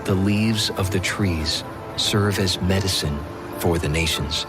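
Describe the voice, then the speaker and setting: A man giving a solemn, measured, dramatic narration of scripture as the narrator of an audio drama. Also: low-pitched, music in the background. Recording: studio voice under loud orchestral score.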